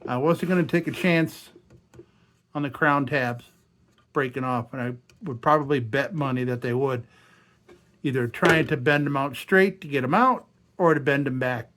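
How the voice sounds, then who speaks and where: A hoarse man talking casually to himself as he restores an old lantern at a workshop bench, thinking aloud. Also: medium pitch, moderate pace, fairly bright tone. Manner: chatty, thinking aloud while working. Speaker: restoration channel host.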